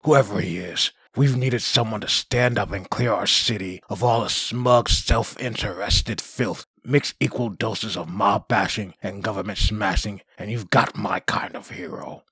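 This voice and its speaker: A man acting in a comic dub as a gruff, opinionated, street-weary character, gravelly and husky, with an emphatic, sardonic delivery. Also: fairly low pitch, unhurried, few pauses, fairly bright tone, dry recording. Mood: fervent, defiant.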